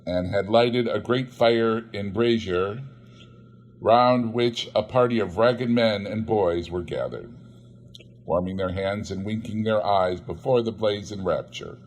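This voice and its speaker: A man reading a classic novel aloud in measured storytelling narration. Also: fairly low pitch, a little hiss or hum. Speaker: audiobook reader.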